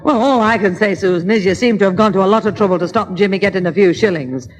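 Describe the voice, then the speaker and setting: A woman's voice: mid-range voice, fast, crowd noise, natural voice. A woman playing a reasonable, mildly scolding mother who keeps the peace in a 1960s radio sitcom. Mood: mildly reproving. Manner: placating, mildly reproving. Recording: old radio hall recording, studio audience.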